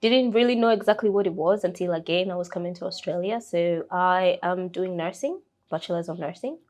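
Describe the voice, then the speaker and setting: A young woman from Kenya with a Kenyan accent, telling her story in a casual interview, speaking softly with many fillers and restarts. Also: lowish voice, a little fast. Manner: soft-spoken, frequent fillers and restarts. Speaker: interview guest.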